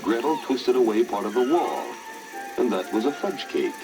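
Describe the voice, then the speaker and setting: A man narrating a children's story in a dramatic, expressive, theatrical style. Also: mid-range voice, normal speed. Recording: old story record, orchestral music behind.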